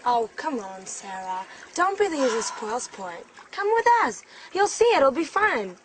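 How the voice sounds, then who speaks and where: Woman playing keen young woman, high voice, earnest and pushy, learners' drama, noisy sound. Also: sing-song, a little slow. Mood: insistent. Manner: earnest, insistent. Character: eager young woman keen on ghost hunting. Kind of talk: scripted drama for English learners.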